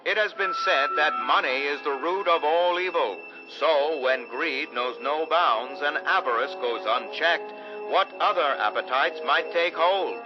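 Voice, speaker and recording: A solemn, theatrical American radio host, played by a man, introducing tales in a portentous 1940s manner. The voice is fairly high, on a muffled old broadcast with clear music behind it. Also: natural voice. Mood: ominous, inviting. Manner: dramatic, portentous, deliberate phrasing. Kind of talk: 1940s-style radio drama narration. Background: dramatic music.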